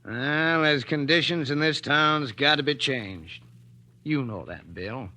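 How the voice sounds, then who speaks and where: A man's voice: mid-range voice, slow, pushed and bright. An actor playing a surly, suspicious small-town gas station man with a rustic American accent in a 1940s radio play. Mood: wary, pressing. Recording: noisy 1940s radio broadcast recording.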